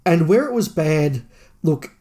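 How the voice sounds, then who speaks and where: A man's voice: on the high side, animated, a little slow, mellow. A man co-hosting a podcast, giving opinionated, emphatic views in a casual review discussion.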